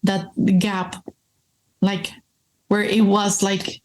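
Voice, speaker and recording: A woman's voice: mid-range voice, completely flat delivery, very slow, a little mellow, slight background noise. A woman from Mexico with a Mexican Spanish accent, a podcast guest talking earnestly with frequent ums and restarts. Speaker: coffee business guest. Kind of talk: casual podcast chat.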